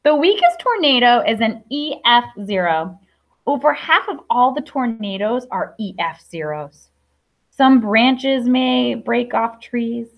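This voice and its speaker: A woman's voice: a little high, expressive, unhurried, creaky, muffled recording. A young adult woman teacher reading a picture book aloud to young children, explaining simply with questions and asides. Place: classroom.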